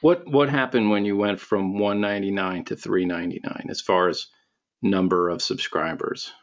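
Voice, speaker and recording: A man hosting a podcast, asking his guest questions in a relaxed way with fillers and repeated starts. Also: lowish voice, moderate pace, dull audio. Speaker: podcast host. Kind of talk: casual interview questions.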